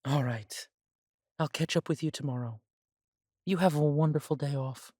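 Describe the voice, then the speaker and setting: A man playing a humble, self-doubting immortal elf who runs a coffee shop in a fantasy audio drama, talking in a gentle, chatty way. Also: fairly high pitch, moderate pace, no room sound, clean studio sound, lightly acted. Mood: warm, friendly.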